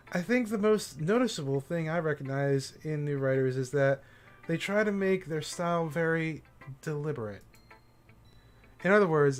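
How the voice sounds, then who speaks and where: A man's voice: on the high side, lightly acted. A man reading a shy, bookish teenage girl's lines aloud, hesitant and stammering at first, then earnest when explaining. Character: shy bookish teenage girl, soft-spoken. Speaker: streamer voicing a game character.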